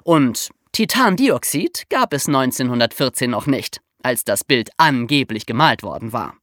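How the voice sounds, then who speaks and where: A woman's voice: very deep voice, animated, moderate pace, a bit bright, no echo, studio quality. A woman co-hosting a podcast, explaining true crime in a colloquial, conversational storytelling way for young listeners. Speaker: podcast co-host.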